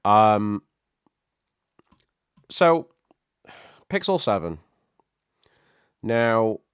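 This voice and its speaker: A man with a lowish, smooth voice hosts a relaxed tech livestream, chatting easily and calling out viewers by name. His voice has a slight croak from a lingering cold, and the sound is close and dry, with no room echo. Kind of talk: casual livestream chat. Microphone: studio microphone.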